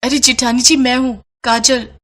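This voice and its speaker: A woman's voice: fairly high pitch, little pitch movement, moderate pace, a bit bright, voice pushed up, natural voice. A woman voicing a meek, long-suffering young daughter-in-law, polite and plaintive, in a family story.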